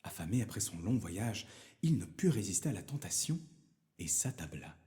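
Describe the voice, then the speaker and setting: A man narrating a fairy tale for children as a storyteller, in a theatrical, expressive manner. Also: lowish voice, moderate pace.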